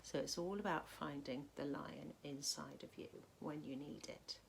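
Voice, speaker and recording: A woman reading a children's storybook expressively, like a primary school teacher, with dramatic, drawn-out emphasis. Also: low-pitched, fairly even intonation, vocal fry, a little hiss or hum.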